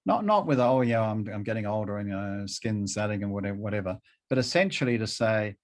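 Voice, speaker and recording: A middle-aged man speaking conversationally as an interview guest, haltingly, often repeating phrases to drive them home. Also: on the low side, animated.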